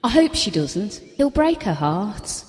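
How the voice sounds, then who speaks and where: A woman's voice: mid-range voice, very animated, slow pace, darkish tone. An actress playing a guarded, teasing woman in an audio play, wry and probing. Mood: sceptical. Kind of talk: short audio play dialogue. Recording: long hall-like reverb.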